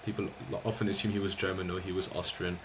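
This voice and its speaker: Fast-talking man hosting a podcast. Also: deep voice, flat delivery, background noise. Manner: quick, earnest, many fillers of "you know". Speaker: podcast host. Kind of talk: conversational podcast discussion.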